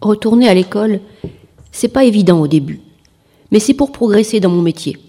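A woman in her late twenties talks about herself in an interview. Her voice is husky and mellow. She speaks plainly, in short sentences that often run like lists. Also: mid-range voice, expressive, brisk. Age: late 20s. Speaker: interviewee, industrial technician returning to school.

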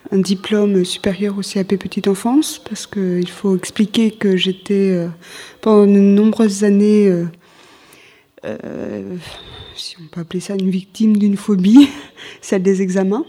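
A woman telling her own story casually as an interview guest, hesitant, with drawn-out pauses. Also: medium pitch, dark tone. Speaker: interview guest, former childminder returning to study. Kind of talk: casual personal account.

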